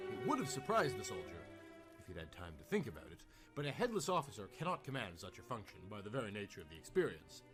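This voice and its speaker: A man narrating a scripted story in a formal, theatrical, dramatic storytelling style. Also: medium pitch.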